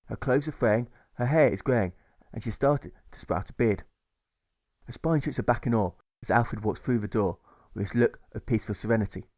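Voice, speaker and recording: A man's voice: medium pitch, normal speed, a little mellow, dry recording. A man narrating a rhyming audiobook as its author, with a rhythmic delivery that stresses the rhymes. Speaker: author reading his own novel.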